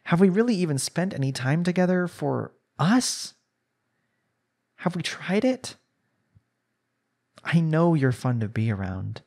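A man's voice: on the high side, very animated, a little slow, creaky, pauses often, a little mellow, exaggerated voice. A young man playing a jealous, lovestruck husband in an intimate romantic audio roleplay, speaking in an earnest, pleading way. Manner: earnest, pleading, sighing delivery.

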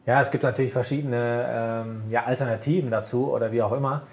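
Guy going over stock charts step by step, calm and measured, mid pitch. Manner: measured, walks through charts point by point. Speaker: co-host of a broker's market show. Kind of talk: casual market chat over charts.

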